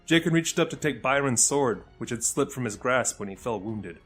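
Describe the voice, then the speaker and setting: A man's voice: mid-range voice, expressive, moderate pace, a bit bright, soft background music. A man reading scripted fantasy fiction as the narrator of an audio drama.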